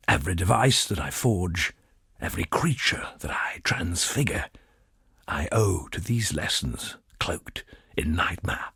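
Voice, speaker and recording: An actor playing an arrogant, obsessive thaumaturge in a game, with a gravelly, breathy voice and a grandiose, deliberate delivery. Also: male voice, lowish voice, dry recording, clean studio sound, full character voice. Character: arrogant, obsessive male thaumaturge, fervent and scheming. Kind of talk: dramatic scripted game monologue.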